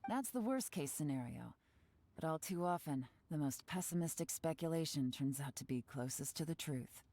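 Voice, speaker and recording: A woman voicing a sharp-minded female investigator in a video game, calm and measured as she reasons things through. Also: sits low, a little hiss or hum. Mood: reasoning. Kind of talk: game voice acting. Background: game music.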